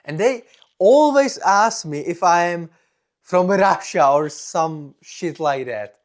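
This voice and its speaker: A young man from the Czech Republic, a native Czech speaker with a strong Czech accent, gives a personal vlog monologue in deliberate, simple non-native phrasing. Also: sits high, lively intonation. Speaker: vlogger.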